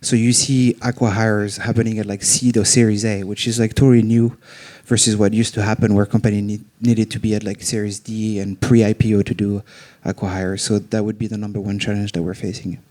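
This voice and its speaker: A man from France with a thick French accent, speaking as a panelist at a live event. He is self-deprecating and speaks slowly on purpose. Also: on the low side, flat delivery, warm tone. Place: members' club event room in front of an audience.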